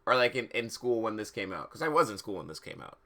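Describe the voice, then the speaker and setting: A man live streaming, switching between dramatic reading and casual, slangy commentary, often halting, repeating words and sounding exasperated. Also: fairly low pitch, very animated, bright, forceful tone.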